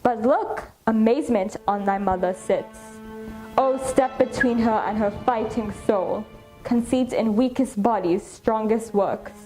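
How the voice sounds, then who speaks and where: Woman as slow, mellow, solemn royal ghost. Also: on the high side, soft background music, lightly acted, low-quality recording. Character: solemn ghost of a dead king, the prince's father. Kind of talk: dramatic Shakespeare reading, amateur.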